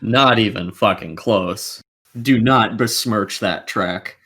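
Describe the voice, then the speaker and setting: A man's voice: on the low side, slow, bright, forceful tone, husky. A man hosting a gaming podcast, chatting casually with blunt, disgruntled opinions and plenty of swearing.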